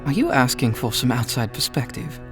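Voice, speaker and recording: Wry questioning idealist in an audio drama, really animated pitch, bit of music behind. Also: female voice, brisk. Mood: probing. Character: skeptical, probing, idealistic would-be public servant.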